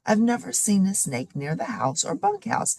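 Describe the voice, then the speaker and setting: A woman's voice: mid-range voice, wildly swooping pitch, moderate pace, vocal fry, a little mellow, no echo, pitched above the performer's own voice, exaggerated voice. A woman voicing a genteel, plain-spoken aunt from a children's storybook, a little fussy and no-nonsense. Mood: startled, firm.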